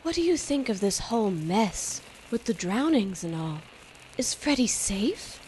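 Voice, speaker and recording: A woman's voice: mid-range voice, very animated, a little slow, a little mellow, a little hiss or hum, rough recording. A woman playing an anxious young mother in an old-time radio drama. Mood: worried, gentle.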